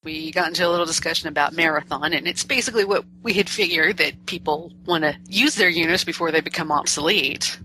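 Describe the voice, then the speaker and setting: A woman's voice: sits low, fast, hardly any pauses, pushed and bright, a little hiss or hum, low-quality recording. A woman co-hosting a gaming podcast, chatting casually, teasing and laughing often. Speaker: podcast co-host.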